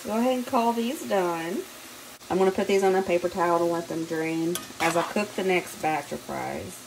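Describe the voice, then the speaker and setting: Hoarse Southern mom talking through a recipe. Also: female voice, on the low side, moderate pace, noisy background. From Southern United States. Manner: chatty and relaxed, gives step-by-step directions. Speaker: home cooking vlogger. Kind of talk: casual recipe walkthrough. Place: home kitchen.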